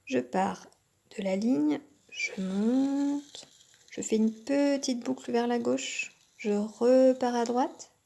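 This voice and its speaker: A woman teaching a kindergarten class by video, speaking gently and articulating clearly. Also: on the high side, slight background noise. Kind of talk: teaching young children by video.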